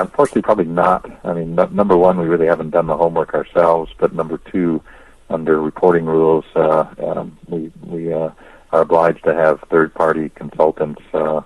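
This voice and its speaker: A man answering questions as a company executive on an investor conference call, speaking in a careful, hedging, measured way. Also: extremely low pitch, darkish tone, no echo, low-quality recording. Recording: dry conference call audio.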